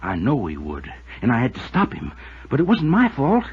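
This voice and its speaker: Husky, desperate cowhand killer. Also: male voice, medium pitch, wildly swooping pitch, moderate pace, exaggerated voice. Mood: desperate, defensive. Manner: repetitive, pleading, agitated, folksy grammar. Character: desperate, cornered ranch foreman and killer, self-justifying. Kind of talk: old-time radio drama acting. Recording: dull lo-fi old radio recording.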